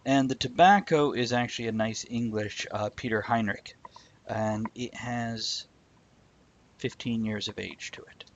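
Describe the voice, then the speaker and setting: A man's voice: mid-range voice, unhurried, lo-fi sound. A man hosting a live-streamed video chat show, joking, laughing and chatting with viewers. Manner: jokey, laughs often, chats to viewers. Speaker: host. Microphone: video call.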